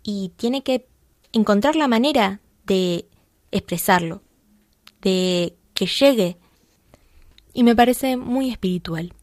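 A young Argentine woman with a Buenos Aires accent, talking about music in a heartfelt, earnest way with the odd soft laugh. Her voice sits at an ordinary pitch, recorded close and dry with no room sound. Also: lively intonation, slow pace, pauses often, dry recording. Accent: Argentine Spanish (Buenos Aires). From Argentina, Buenos Aires area. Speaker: violinist guest. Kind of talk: radio interview chat.

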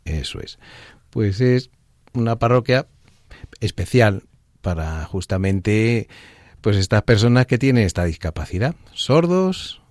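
Male parish priest, low voice, easygoing explainer, dry close mic. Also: expressive, measured pace, a little mellow, no room sound. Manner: plain, easygoing explaining with fillers. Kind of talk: radio interview.

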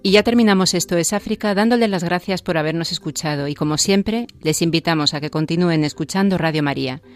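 A woman hosting a religious radio program, reading announcements and a closing in a calm, measured, devotional way. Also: lowish voice, soft background music. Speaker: radio host.

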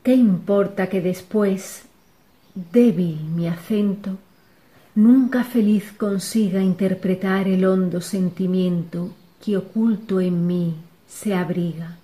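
A woman reciting a devotional poem in a slow, solemn way, sometimes dropping to a whisper. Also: medium pitch, fairly even intonation, warm tone, rough recording. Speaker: poetry reciter.

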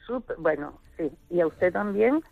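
A woman's voice: fairly low pitch, expressive, moderate pace, creaky, no room sound. A woman from Chile calling in to a religious radio show, talking in an enthusiastic and rambling way. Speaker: caller. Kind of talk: phone-in call. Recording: muffled phone-line call on radio.

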